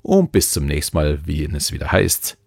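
A man with a Bavarian accent who talks in a loose, rambling way. His voice sits very low and carries a gravelly fry with a husky edge. The recording is close and dry. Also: lively intonation, normal speed, darkish tone, no echo. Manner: rambling, loose and chatty with many fillers. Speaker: podcast host and hypnotist. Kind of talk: casual podcast talk.

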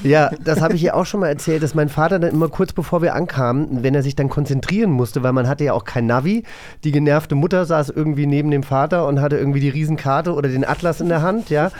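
A man's voice: a little high, steady tone, quick pace, darkish tone. Man co-hosting a casual podcast. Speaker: podcast co-host.

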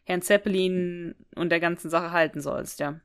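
A woman's voice: fairly low pitch, steady tone, normal speed, smooth. A woman co-hosting a podcast in casual chat, explaining and correcting in a matter-of-fact way. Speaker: podcast co-host. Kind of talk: casual chat, retelling a story.

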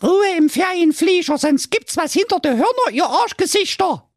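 A bossy, tipsy mother goat from a comic fairy tale, acted out by a man. The voice is very high and shrill, swinging widely as it snaps out coarse commands, in a dry close recording. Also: sing-song, normal speed, no echo. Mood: bossy, irritated. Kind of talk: comedic fairy-tale storytelling.

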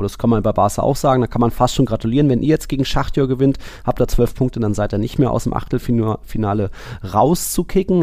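A man's voice: mid-range voice, mellow, no room sound, studio quality. A man co-hosting a casual football podcast, explaining things in long, colloquial, conversational runs. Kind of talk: casual football analysis chat.

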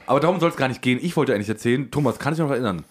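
A man's voice: medium pitch, lively intonation, racing. A man telling anecdotes in a casual chat as a co-host.

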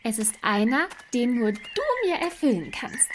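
A woman's voice: fairly high pitch, lively intonation, normal speed, slight background noise, natural voice. A woman playing a dreamy, romance-struck young princess in a fairy-tale radio play, gushing and politely insistent.

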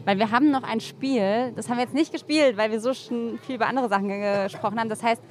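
A woman's voice: on the high side, fast talker. A woman hosting a casual interview, speaking informally and with light banter. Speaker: interviewer, host. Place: on public transport in the city. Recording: urban transit noise behind the voice.

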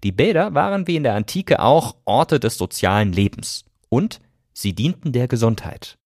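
Lively male podcast host. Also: medium pitch, normal speed, dry recording, studio quality. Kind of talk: scripted narration addressing listeners directly.

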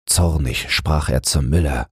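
A man narrating a fairy tale as a bedtime audiobook, in a low, husky, breathy voice. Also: measured pace, no room sound, clean studio sound.

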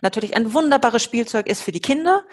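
A woman's voice: a little high, lively intonation, quick pace, slightly rough. A woman logistician talking through her fieldwork in a podcast conversation, explaining with many fillers and restarts.

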